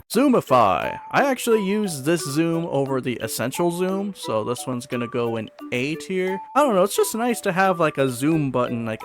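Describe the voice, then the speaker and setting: A man giving casual, chatty, self-deprecating commentary as a video creator ranking things. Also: on the high side. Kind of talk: casual commentary over a tier list. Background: background music.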